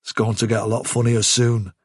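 Man as a husky, wary rabbit fighter. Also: medium pitch, flat delivery, brisk, no room sound, studio quality, close to an everyday voice. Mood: grim warning. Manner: cautionary, serious.

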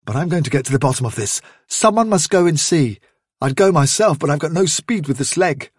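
A man's voice: fairly high pitch, expressive, a little fast, slightly rough, dry recording, studio quality. A man voicing a male rabbit leader who questions urgently and firmly. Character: male rabbit leader, earnest, firm and inquisitive.

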